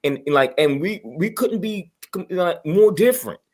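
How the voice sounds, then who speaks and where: Earnest, higher-voiced man chatting. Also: expressive, moderate pace. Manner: earnest, emphatic, often seeking agreement. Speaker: podcast panel participant. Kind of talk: casual heartfelt group conversation, telling anecdotes.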